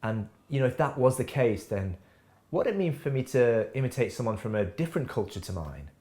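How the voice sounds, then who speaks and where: Lively, musing man hosting. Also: medium pitch, fairly quick. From England. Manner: musing, questioning, breaks into laughs. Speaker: host. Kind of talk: scripted reflective talk to camera. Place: home kitchen.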